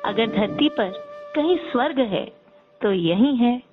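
A woman's voice: a little high, lively intonation, takes it slowly. A woman hosting a radio interview, polished, often affirming her guest. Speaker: radio host. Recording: tinny phone-like radio sound, faint music.

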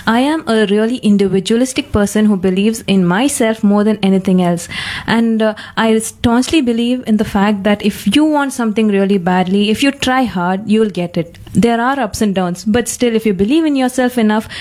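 A woman's voice: mid-range voice, normal speed, darkish tone. Young woman, hesitant phrasing. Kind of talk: conversational personal discussion.

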